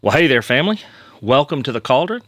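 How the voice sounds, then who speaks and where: A man hosting a casual podcast, friendly and joking, his voice a bit hoarse from being under the weather. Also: mid-range voice, sing-song, fast talker, fairly bright tone, dry recording, clean studio sound. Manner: friendly, joking, rambling with fillers. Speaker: podcast host.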